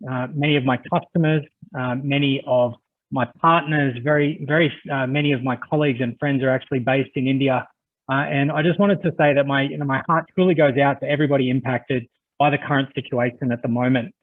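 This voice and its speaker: A man's voice: mid-range voice, fairly even intonation, brisk. Aussie guy presenting on a video call, friendly and chatty, lots of uhs, tinny phone-like sound. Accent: Australian. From Australia. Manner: friendly, chatty, with frequent "uh" fillers. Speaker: conference presenter. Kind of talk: webinar presentation. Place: home office on a video call.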